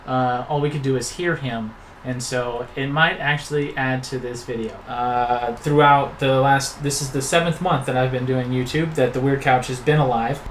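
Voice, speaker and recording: A man in his thirties hosts a relaxed conversation, drifting from point to point and tossing in teasing remarks. His voice sits at an ordinary, middling pitch. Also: moderate pace, few pauses, slight background noise, rough recording. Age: 30s. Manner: loose and rambling, with teasing asides. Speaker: host. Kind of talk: casual chat.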